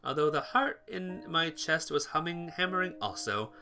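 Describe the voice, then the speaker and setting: A man's voice: a little high, animated, moderate pace, gravelly, bright, forceful tone, soft background music. A man reading a visual novel aloud for a playthrough, narrating and voicing the hero's lines. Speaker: let's-play narrator.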